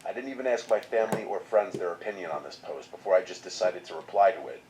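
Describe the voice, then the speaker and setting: A man reading a first-person horror story aloud in a steady, scripted narration. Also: low voice, fast talker, background noise.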